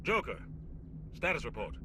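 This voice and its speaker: A man's voice: medium pitch, very animated, moderate pace, lightly acted. Man playing a curt, stern captain, humming background. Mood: commanding. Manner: curt, authoritative. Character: stern, gruff, commanding male navy captain. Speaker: ship's captain. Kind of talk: video game voice acting. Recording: ship hum behind voice.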